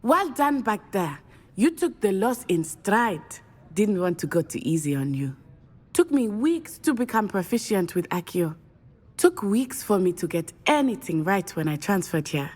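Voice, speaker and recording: A woman's voice: medium pitch, big pitch swings, unhurried, darkish tone. An actress playing a friendly, confident teenage witch from Uganda in a video game, with an East African accent and an encouraging manner. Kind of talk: video game character acting.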